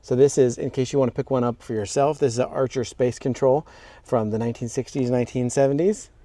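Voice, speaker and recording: Man, fast-talking, mellow tone, casual vlog host. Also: medium pitch, steady tone, dry recording. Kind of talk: casual talk to camera.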